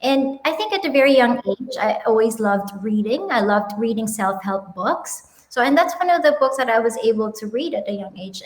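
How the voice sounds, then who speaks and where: A woman in her thirties with a Filipino accent, telling her founder story in an animated, upbeat way with frequent ums and laughs, on a video call. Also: a little high, reverberant, poor audio. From Philippines. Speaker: guest, company founder. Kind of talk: casual interview chat. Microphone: webinar video call.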